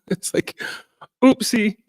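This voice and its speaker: A middle-aged woman with a low, deep voice, a singer hosting a casual livestream chat, rambling along with fillers and little laughs. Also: slow pace, a little mellow, no echo. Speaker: singer and gardening channel host.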